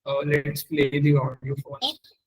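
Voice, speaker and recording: A man presenting a technical webinar as a trainer, explaining haltingly and often repeating and correcting himself. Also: a little high, little pitch movement, breathy edge, rough recording. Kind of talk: technical lecture with screen demonstration.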